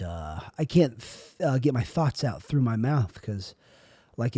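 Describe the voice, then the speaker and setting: Raspy, airy young man rambling solo. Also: mid-range voice, dark tone, no room sound. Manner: rambling, self-questioning, trailing off. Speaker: podcast host. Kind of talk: solo podcast monologue.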